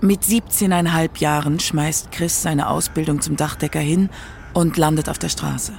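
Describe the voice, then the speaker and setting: A man's voice: on the high side, fairly even intonation, moderate pace, gravelly, slight background noise. A man reading scripted narration for a radio documentary.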